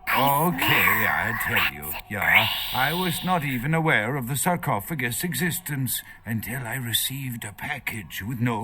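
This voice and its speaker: Game role: nervous captive archaeologist, bright lively voice, learned and hesitant, explains like a lecturer. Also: male voice, medium pitch. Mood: confiding, uneasy. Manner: lecturing, hesitant, searching for words. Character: nervous, erudite male foreign archaeologist held captive. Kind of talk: video game voice acting.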